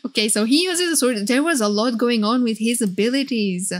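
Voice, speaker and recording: High-voiced gushing woman streamer over music. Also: a little fast. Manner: gushing, playful, flirty. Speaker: streamer reacting to game trailers. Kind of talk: excited casual reaction commentary. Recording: loud music behind. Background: game trailer music and sound.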